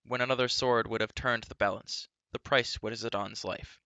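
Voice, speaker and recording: A man voicing an earnest, hot-tempered young man who speaks up loudly and accusingly. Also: medium pitch, expressive, moderate pace, no room sound. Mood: angry. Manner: loud, accusing, indignant.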